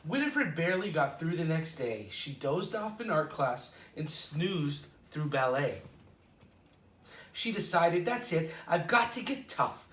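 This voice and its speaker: Bright-voiced man reading to kids, roomy echo. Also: on the high side, slight background noise. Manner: expressive, playful delivery with drawn-out dramatic words. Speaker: children's storytime host at a library. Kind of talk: animated picture-book read-aloud for children. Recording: roomy echo, distant microphone.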